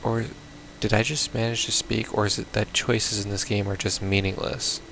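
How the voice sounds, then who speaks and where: A man's voice: lowish voice, flat delivery, normal speed, creaky, fairly bright tone, slight background noise. A man playing a visual novel, reading its text aloud casually with baffled, blunt asides. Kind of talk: casual let's play, reading game text aloud with confused reactions.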